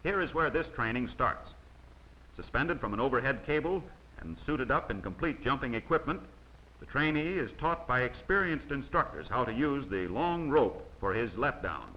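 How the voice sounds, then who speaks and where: A man reading scripted instructional narration in a measured way, as the narrator of a training film. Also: medium pitch, expressive. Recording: dull, noisy old 16mm film soundtrack.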